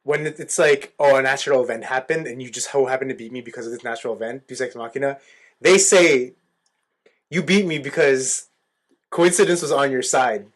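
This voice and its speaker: A man with a medium-pitched voice speaks as a podcast co-host. He explains things in a casual, fannish way, leaning hard on his points for emphasis. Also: fairly quick. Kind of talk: casual fan discussion.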